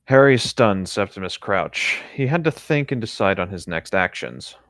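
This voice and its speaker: A man's voice: fairly low pitch, lively intonation, normal speed, fairly bright tone, dry recording, clean studio sound. A man co-hosting a read-aloud of a story, narrating the prose and its dialogue with brief reactions. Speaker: co-host reading fan fiction aloud.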